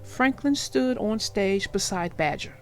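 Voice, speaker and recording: A woman narrating for young children in an expressive, reassuring way, as if reading aloud and talking directly to them. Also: medium pitch, unhurried, soft background music. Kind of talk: read-aloud storytelling and advice to young listeners.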